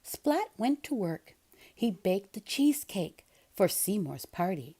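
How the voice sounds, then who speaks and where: A woman reading a children's picture book aloud in an expressive narrating style. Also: medium pitch, slow, husky. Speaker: storybook reader.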